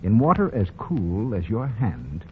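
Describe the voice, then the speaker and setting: A male radio announcer delivering a polished, emphatic sponsor pitch in 1940s broadcast style. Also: huge pitch swings, unhurried, slightly rough. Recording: dull, noisy 1940 radio recording.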